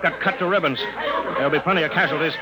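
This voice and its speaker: An actor playing a brisk, authoritative chief engineer in a classic radio drama, clipped and matter-of-fact. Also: male voice, fairly high pitch, natural voice, low-quality recording. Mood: urgent, commanding. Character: brisk, authoritative male chief engineer of futuristic rolling roads. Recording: old radio recording with loud background din.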